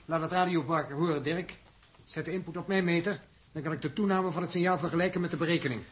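An actor playing a calm, methodical spaceship navigator in a 1960s radio drama, precise and matter-of-fact. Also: male voice, on the high side, fast, slight background noise, close to an everyday voice. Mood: calm, factual.

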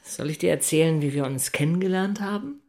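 An actor playing a sober, understated middle-aged systems consultant in a German radio drama. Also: male voice, on the high side, brisk, darkish tone. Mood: dry, intimate. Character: sober male Berlin systems consultant in a love affair. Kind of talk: German radio drama dialogue and inner narration.

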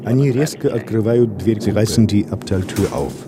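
A man's voice: lowish voice, moderate pace, a loud crowd, natural voice. A man narrating terse scene directions in a radio drama. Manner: terse, announcing.

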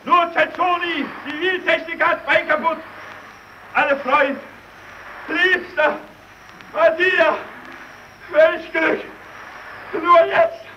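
A man's voice: very high-pitched, fairly even intonation, slow, long pauses, bright, forceful tone, far from the mic, lo-fi sound, a little hiss or hum, exaggerated voice. A man playing an exuberant polar officer in a radio play, declaiming in broken German. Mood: jubilant, proclaiming. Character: exuberant Italian polar expedition officer, shouting greetings.

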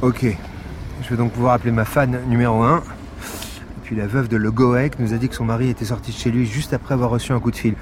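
An actor playing a sardonic, pragmatic police investigator with a dry, matter-of-fact delivery in a naturalistic audio drama. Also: male voice, medium pitch, fairly even intonation, fairly quick, background noise, natural voice. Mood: businesslike. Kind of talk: naturalistic audio fiction dialogue.

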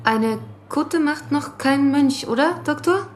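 A woman's voice: sits high, takes it slowly, faint music behind. A woman playing a determined researcher in a radio drama, composed and inquisitive. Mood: dry. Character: determined female researcher narrating and interviewing witnesses. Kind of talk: radio drama, narration and dialogue.

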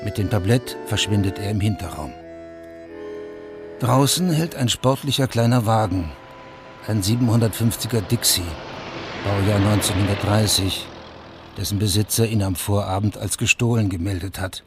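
Sober, low-pitched male radio narrator. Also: moderate pace. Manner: sober, matter-of-fact. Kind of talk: scripted radio drama narration. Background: music.

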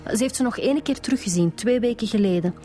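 A woman's voice: a little high, quick pace, warm tone, faint music behind, natural voice. A Flemish woman playing a matter-of-fact, diligent police inspector in a radio drama, plain-spoken and businesslike. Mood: eager, reporting.

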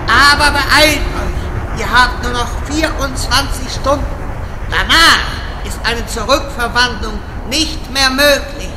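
An actress playing a dramatic, imploring mentor in a parody audio drama. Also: female voice, sits high, slow pace. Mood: urgent. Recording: noisy long echoing reverb.

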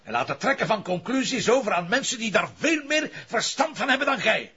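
An actor playing a blunt, hot-headed police commissioner with a Flemish accent, talking in a brusque, colloquial way in a TV crime drama. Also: male voice, high-pitched, very animated, a little fast, bright, forceful tone, breathy edge, lo-fi sound, full character voice. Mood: angry, commanding. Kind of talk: naturalistic TV crime drama acting.

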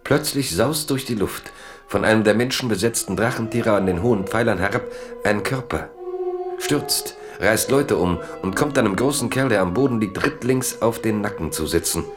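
A man narrating a literary radio play in German, telling the story in measured, dramatic phrases with pauses. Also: lowish voice, animated, over music.

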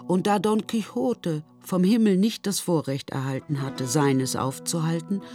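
Woman narrator, fairly low voice, scripted classic storytelling, radio play, music behind.

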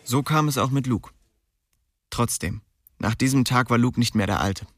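A man's voice: mid-range voice, moderate pace, no room sound, clean studio sound, close to an everyday voice. An actor playing a young streetwise man who recounts his small-town youth colloquially in a radio drama. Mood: wry storytelling. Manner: colloquial, storytelling. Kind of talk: radio drama first-person narration.

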